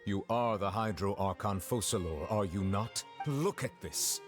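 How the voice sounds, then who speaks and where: Male actor for a grave, composed game magistrate: low register, formal and measured, stern. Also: lightly acted. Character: stern, composed adult male chief judge. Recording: faint music behind game dialogue.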